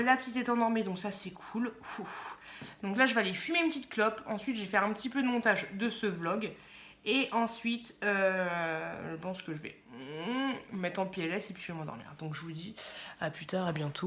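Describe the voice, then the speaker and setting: A woman's voice: mid-range voice, fairly bright tone. A woman chatting casually to camera for a vlog, playful and self-mocking, often repeating her phrases as she rambles. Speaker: vlogger.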